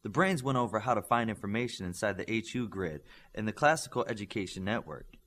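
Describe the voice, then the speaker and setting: A man's voice: on the low side, animated, fast, fairly bright tone. A man reading scripted story narration as an audiobook narrator.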